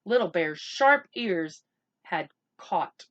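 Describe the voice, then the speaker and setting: A woman reading a children's book aloud as an expressive narrator. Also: lowish voice, slow, lo-fi sound.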